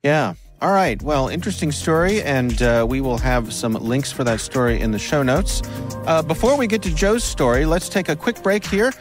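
A man co-hosting a podcast, relaxed and wry in casual chat. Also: on the high side, moderate pace, over music. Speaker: podcast co-host.

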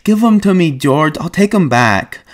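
A man's voice: on the high side, lively intonation, normal speed, pitched above the performer's own voice, lightly acted. A man voicing a big, simple-minded grown man who talks breathlessly and pleadingly, like a child.

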